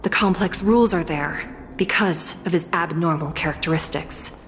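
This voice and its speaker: A woman playing a stern, solemn prison judge in a video game, formal, deliberate and authoritative. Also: lowish voice, big-room sound, a little hiss or hum, natural voice. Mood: stern, explanatory.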